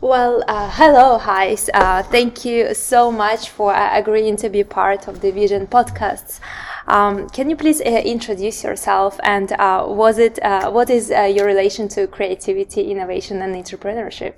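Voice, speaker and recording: A woman's voice: medium pitch, fairly even intonation, moderate pace, slight background noise. A woman researcher interviewing a guest, asking questions hesitantly with frequent uhs and restarts.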